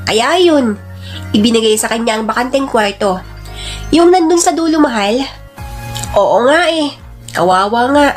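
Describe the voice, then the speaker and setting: Troy, kindly husband voiced by a high-pitched woman. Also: animated, unhurried, long pauses, soft background music. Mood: sympathetic. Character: Troy, kindly, helpful young husband and father. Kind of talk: radio drama acting.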